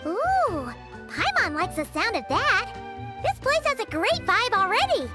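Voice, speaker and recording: Tiny floating sprite girl, game cutscene, very high squeaky voice, sing-song lilt, chiming music behind. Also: very animated, measured pace, heavily acted. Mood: delighted. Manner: speaks of herself in third person, gasps, exclaims. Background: game music with chimes.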